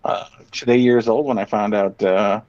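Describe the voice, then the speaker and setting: A man's voice: lowish voice, fairly even intonation, normal speed, darkish tone. A man chatting casually as a podcast host, reading out items and reacting with profane, sardonic opinions. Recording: dull, muffled lo-fi audio.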